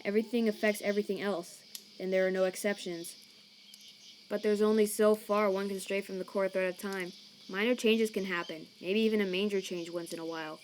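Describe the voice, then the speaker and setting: A woman's voice: medium pitch, fairly even intonation, brisk, darkish tone, slight background noise, close to an everyday voice. A woman voicing a laid-back male skeleton monster in a fan comic dub, casual and wry as he shrugs things off. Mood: brooding. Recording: chirping crickets and night ambience behind.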